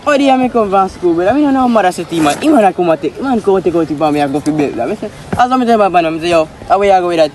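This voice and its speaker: A young man with a Jamaican accent, chatting excitably and slangily with friends. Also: high voice, very fast, hardly any pauses, a little hiss or hum. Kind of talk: casual chat among friends.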